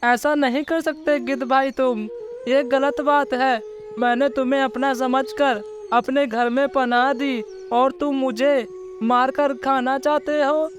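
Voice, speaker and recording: A man's voice: extremely high pitch, fairly even intonation, voice pushed up. A man voicing a small, clever female songbird in a children's cartoon story, plaintive and reproachful. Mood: pleading, reproachful. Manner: plaintive, reproachful, drawn-out pleading. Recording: loud music bed.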